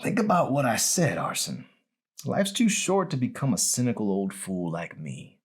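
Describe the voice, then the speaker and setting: A man performing a raspy old man on his deathbed in an audiobook. He talks in a folksy, colloquial way, bitter at the world yet tender, handing down hard-won advice. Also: mid-range voice, wildly swooping pitch, moderate pace, voice pushed up. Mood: weary, gruff. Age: older. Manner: colloquial, folksy, wistful preaching.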